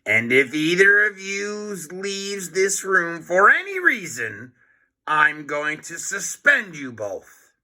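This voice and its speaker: A man voicing a menacing, gloating school principal in a children's storybook, growling out orders deliberately. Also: sits high, very animated, pushed and bright, pitched above the performer's own voice, exaggerated voice. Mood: threatening.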